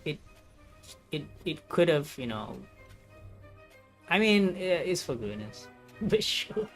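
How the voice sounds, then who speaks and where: A woman streaming a story game and chatting casually as she goes. She stumbles and repeats words in an amused way, with game music clearly playing behind her voice. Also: low voice, sing-song, slow. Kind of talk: casual gameplay commentary and reading aloud.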